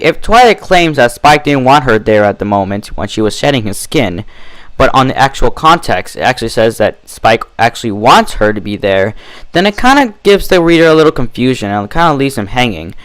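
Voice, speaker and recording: A man reads and reviews stories in an expressive, casual way. His pitch moves around a great deal, and the recording is dry and close. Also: dry recording, rough recording. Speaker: fan fiction reader and reviewer. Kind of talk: storybook narration and casual review.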